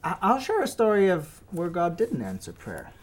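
A man hosting a religious discussion show, chatty and relaxed, sharing a childhood story with a laugh. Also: sits high, moderate pace. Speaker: host. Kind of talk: casual talk show hosting.

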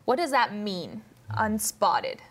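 A woman's voice: medium pitch, very animated, slow pace. A woman leading a conversational Bible study in an informal, questioning way. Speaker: discussion leader.

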